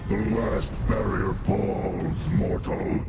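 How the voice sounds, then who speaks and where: An actor playing a bombastic monster in a fantasy game, declaiming in grand theatrical style. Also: male voice, lowish voice, expressive, drawn-out words, heavily acted. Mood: boastful, triumphant. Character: Aarox the Mutilator, bombastic male monster in true form. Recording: loud game music behind.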